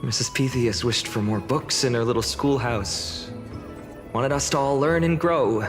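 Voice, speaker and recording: A man's voice: medium pitch, unhurried, natural voice. A young man playing a humble village hero in a fantasy game, earnest, hesitant and musing. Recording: long hall reverb under music.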